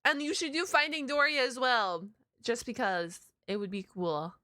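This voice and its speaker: A woman hosts a casual reaction video, rambling informally and dropping slang as she goes. Her voice is bright and forward, smooth and clean, recorded close and dry. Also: a little high, normal speed, dry recording. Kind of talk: casual chatty reaction commentary.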